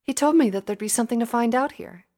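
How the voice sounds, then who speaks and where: A timid young female pony from a storybook, acted out by a woman. The voice sits very high and falters with stammers and apologetic hesitation. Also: fast talker, a little mellow, lightly acted. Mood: nervous.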